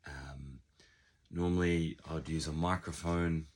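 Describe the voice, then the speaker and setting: Croaky sick guy, super deep husky voice, slow reflective vlog chat full of ums. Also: fairly even intonation. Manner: reflective, unhurried, frequent ums. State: hoarse, recovering from illness. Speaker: channel creator. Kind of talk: casual vlog monologue. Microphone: unfamiliar backup microphone.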